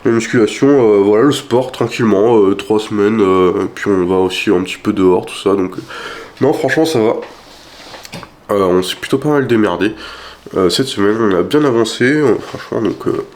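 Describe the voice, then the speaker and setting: A man's voice: lowish voice, normal speed, a little mellow. A young man vlogging casually about his week, rambling with lots of fillers and restarts, sounding stuffy from a cold. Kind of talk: casual weekly vlog chat.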